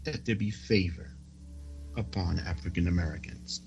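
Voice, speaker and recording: A man reading prophecy as a sermon, solemn and declamatory, with dramatic pauses. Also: low-pitched, creaky, darkish tone, slight background noise. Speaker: preacher reading a prophecy report.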